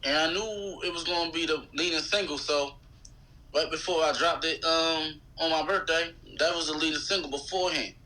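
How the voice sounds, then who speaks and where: Man, thirties, American accent, slightly high pitch, very bright forceful tone, slangy, casual interview guest. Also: normal speed, low-quality recording. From United States. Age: 30s. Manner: slangy, conversational. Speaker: guest, rapper.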